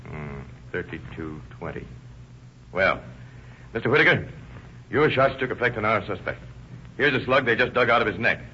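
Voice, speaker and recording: An American actor playing a terse, authoritative police lieutenant in an old-time radio crime drama. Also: male voice, lowish voice, lively intonation, moderate pace, pauses often, bright, forceful tone. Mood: businesslike. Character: brisk, no-nonsense male police lieutenant leading a murder investigation. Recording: muffled lo-fi old radio recording, faint noise.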